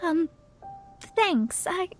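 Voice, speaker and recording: A woman's voice: extremely high pitch, expressive, very slow, faint music behind, exaggerated voice. A woman voicing a proud, dramatic, easily flustered young astrologer in a video game, haughty and prone to stammering. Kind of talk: video game character acting.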